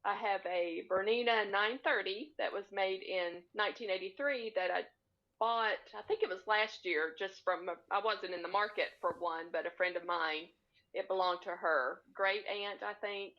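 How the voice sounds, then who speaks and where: A woman speaking as a podcast guest in relaxed, casual conversation over a video call with slightly muffled sound. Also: medium pitch, moderate pace. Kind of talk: casual chat about sewing. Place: indoors, split-screen video call. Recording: slightly dull, lo-fi call audio.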